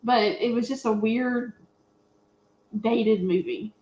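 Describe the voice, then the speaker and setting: A woman's voice: on the high side, takes it slowly, a little mellow. A woman chatting casually as a panelist on a film review show. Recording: echoey, roomy voice pickup.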